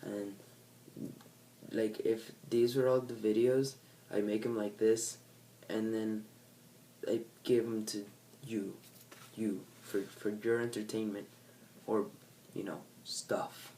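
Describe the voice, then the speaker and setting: A man's voice: fairly low pitch, level tone, slow, warm tone, distant mic, slight background noise, low-quality recording. Nasal, rambling teenage guy vlogging. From California. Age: teens. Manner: rambling, self-correcting, laid-back. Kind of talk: casual unplanned chat to camera. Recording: faint background ambience, set back from the mic.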